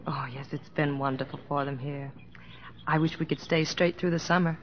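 A woman's voice: deep voice, steady tone, moderate pace, slight background noise, natural voice. Tender American wife played by a woman, old radio. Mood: gentle, concerned. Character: devoted, gently concerned wife telling her husband's story. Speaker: actress narrating and acting in a radio drama. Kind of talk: old-time radio drama acting. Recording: muffled old radio recording with music.

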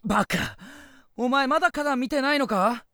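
A woman's voice: high voice, animated, normal speed, fairly bright tone, no room sound, studio quality. A woman voicing an impatient, blunt young village lad who exclaims and scolds in an audio drama. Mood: excited, exasperated. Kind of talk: Japanese audio drama acting.

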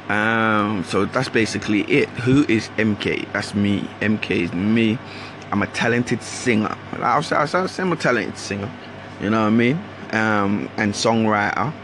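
A man's voice: fairly low pitch, vocal fry, background noise. A man from Guyana with a London accent that keeps Caribbean traces, talking casually and rambling as he hosts his own podcast. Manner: rambling, slangy, restarts often. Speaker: podcast host. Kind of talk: casual solo monologue.

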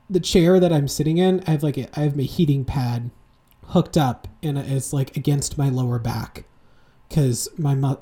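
A young man in his twenties co-hosting a chatty podcast from a room at home. His voice is dark and mellow, and he rambles through animated stories full of "like" and "you know". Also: fairly high pitch. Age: 20s. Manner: rambling, animated storytelling with many fillers. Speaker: podcast co-host. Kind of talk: casual podcast chat. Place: home room, seated with a heating pad.

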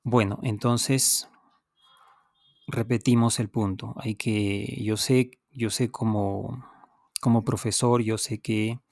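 A native Spanish-speaking man talks at a very slow pace in a fairly low voice, recorded dry and close. He sounds like a teacher hosting his own podcast, explaining each point deliberately, pausing, repeating and addressing the listener directly. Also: level tone, a little mellow, dry recording. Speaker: podcast host and language teacher. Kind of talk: unscripted instructional podcast monologue.

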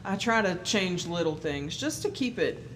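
A woman's voice: on the low side, big pitch swings, moderate pace. A woman who sings, talking casually and agreeably in conversation, with short affirmations along the way. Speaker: singer and musician. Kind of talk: casual conversation about singing. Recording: clearly noisy background ambience.

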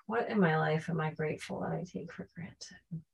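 A woman answering as a podcast guest, thoughtful and earnest, often pausing to search for words. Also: low-pitched, gravelly. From Canada. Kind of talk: reflective interview answers.

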